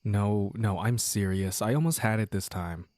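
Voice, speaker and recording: A man playing a playful, teasing young man in an intimate romantic audio roleplay, with halting banter and mock-dramatic asides. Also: lowish voice, a little mellow, no echo, natural voice. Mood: flustered, focused. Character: playful, teasing young man sneaking out with his childhood sweetheart.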